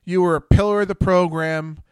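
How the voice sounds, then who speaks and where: A man's voice: high voice, steady tone, fairly quick, clear, resonant tone, no room sound, studio quality. A man in his thirties hosting a casual call-in radio show, blunt and rambling, a bit tipsy. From Philadelphia area. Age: 30s. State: tipsy, coughing. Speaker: host.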